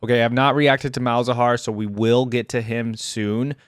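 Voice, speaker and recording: A man's voice: medium pitch, level tone, moderate pace, no echo, clean studio sound. A man hosting a reaction video, commenting in a conversational, enthusiastic way and reading aloud as he goes. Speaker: host of a game lore reaction channel.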